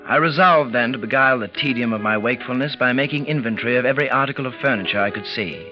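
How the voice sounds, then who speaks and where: A male actor plays a tense gentleman narrating a night of terror in a 1940s radio drama. He speaks in deliberate, broken phrases with theatrical dread. The sound is dull and aged, with suspense music underneath. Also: mid-range voice, lightly acted. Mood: brooding. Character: tense man recounting a night of mounting terror. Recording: muffled vintage 1940s radio recording, music behind.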